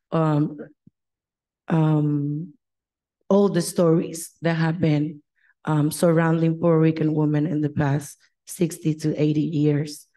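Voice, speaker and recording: Slow, low-voiced woman from the Dominican Republic. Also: warm tone. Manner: measured, many pauses and fillers. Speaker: panelist. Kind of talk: panel discussion. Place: large conference stage.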